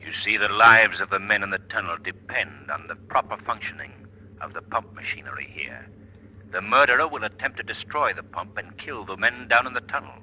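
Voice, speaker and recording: A menacing old radio avenger, low n super piercing voice, calm and taunting, bit of static. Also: male voice, fairly quick. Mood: taunting, ominous. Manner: taunting, commanding. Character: mysterious invisible crime-fighting avenger, menacing and calm. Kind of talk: 1940s radio acting. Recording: noisy old radio recording.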